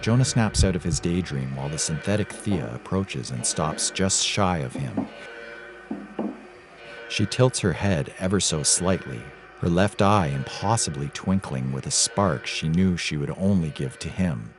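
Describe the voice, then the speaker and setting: Man, very deep bass voice, dramatic sci-fi narration, prominent music behind. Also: expressive, a little slow. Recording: music clearly underneath.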